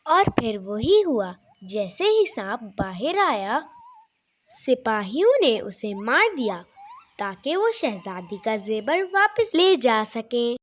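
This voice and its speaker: A woman's voice: fairly high pitch, big pitch swings, takes it slowly. A woman narrating a scripted fable for children as a storyteller.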